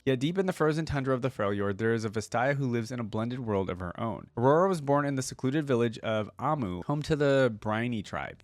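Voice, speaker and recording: A man with a medium-pitched voice hosts a reaction video. He sounds informal and curious, shifting between casual commentary and reading aloud. The recording is close and dry, with very little room sound. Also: dry recording.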